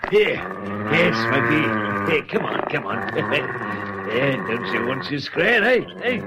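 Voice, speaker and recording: A man's voice: mid-range voice, big pitch swings, slow pace, heavy background noise. A man playing an old Australian vagrant bushman in a radio drama, speaking in rustic, ungrammatical bush talk. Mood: gruff, fond. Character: frightened old male vagrant bushman, alone with his dog.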